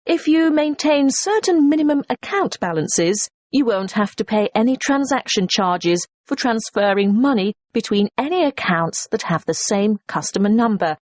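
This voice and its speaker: A helpful corporate employee, played by a woman with a slightly high voice, delivers a scripted customer information message. The intonation swings in a lively way, with clear, persuasive phrasing. The sound is close and dry. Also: no room sound. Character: helpful female investment society employee promoting savings services. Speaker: information line announcer for an investment society.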